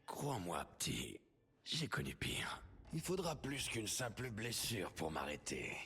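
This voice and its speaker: Mentor blessé, grave et très expressif. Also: male voice, fairly low pitch, wildly swooping pitch, measured pace, background noise, heavily acted. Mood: wry, encouraging. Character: wounded, wry veteran mentor, warm and encouraging.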